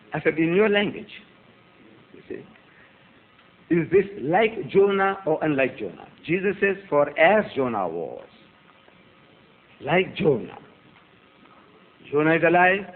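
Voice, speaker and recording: A man whose first language is Gujarati, giving a debate lecture to a live audience, emphatic and repetitive with rhetorical questions, swinging from loud peaks to quiet asides. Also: sits high, a little hiss or hum. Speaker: public debater. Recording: tinny phone-like lecture recording.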